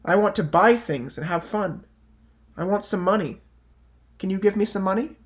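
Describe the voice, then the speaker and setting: A man's voice: sits high, moderate pace, close to an everyday voice. Storybook boy growing older, read by a man: needy, plain, self-absorbed delivery. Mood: demanding. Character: needy, weary boy who grows old. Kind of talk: picture-book read-aloud character.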